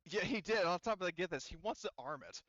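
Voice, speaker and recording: A man working as game master, narrating casually and often thinking aloud in a halting way. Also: high-pitched, animated, a bit bright, dry recording, muffled recording.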